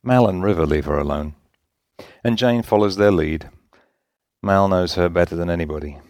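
Guy reading a story out loud, really low voice, folksy narration, super dry recording. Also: moderate pace, no room sound. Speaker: story reader. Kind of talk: scripted narration of fan fiction in folksy vernacular.

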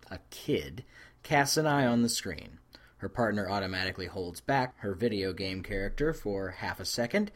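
A male narrator reads a story with a somewhat low voice. His pitch moves widely and expressively from phrase to phrase, as in an audiobook. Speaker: fan fiction reader.

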